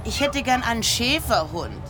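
A woman's voice: fairly high pitch, big pitch swings, normal speed, music in the background. An actress playing a sensual, demanding wife in her thirties in a radio play, given to gushing. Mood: eager, gushing.

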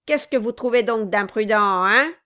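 Woman doing a loud, snarling cruel dwarf. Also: fairly high pitch, moderate pace, clear, resonant tone. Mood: menacing. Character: cruel, violent male dwarf, menacing and bullying. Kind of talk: audiobook reading.

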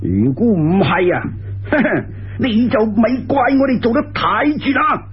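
A man's voice: sits high, very animated, slow, breathy edge, dull audio, heavily acted. A man voicing a sneering, bullying gang ruffian with a Cantonese accent, taunting and menacing in a storytelling session.

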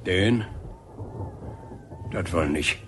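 An actor playing a gruff, stubborn but kindly painter in a radio drama, speaking in terse, muttering phrases. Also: male voice, low voice, extremely slow, a bit bright, exaggerated voice. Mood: evasive, irritable. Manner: terse, muttering, hesitant interjections. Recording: background noise, scene ambience. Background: ambient noise.

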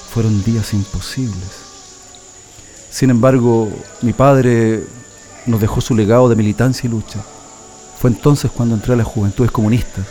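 A man's voice: mid-range voice, dark tone, close to an everyday voice. An actor playing a proud, nostalgic political prisoner in a radio drama, telling his story reflectively with a Chilean accent. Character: male political prisoner, proud nostalgic communist militant, immigrant's son.

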